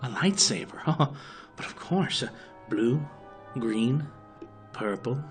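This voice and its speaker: A man's voice: medium pitch, expressive, slow pace. Man acting a jittery, ingratiating shady shopkeeper, music behind. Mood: sly. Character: shady, nervous male shopkeeper selling contraband to tourists. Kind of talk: acted audio drama.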